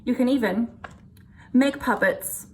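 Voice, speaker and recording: A woman's voice: on the high side, slow pace, a little mellow. A woman teacher giving casual, encouraging instructions to children. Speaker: teacher presenting an activity.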